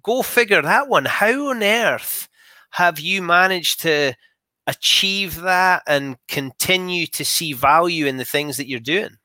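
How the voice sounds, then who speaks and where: Bright, dry-sounding male interviewer. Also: fairly high pitch, lively intonation, measured pace, dry recording. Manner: emphatic, builds lists with rhetorical questions. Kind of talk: conversational interview.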